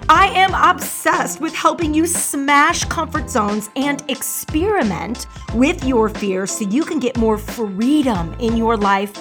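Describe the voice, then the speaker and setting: A woman hosting her own podcast in an energetic, slangy, emphatic monologue, her voice a little hoarse. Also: sits high, slow, hardly any pauses, music in the background. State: slightly hoarse. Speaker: podcast host.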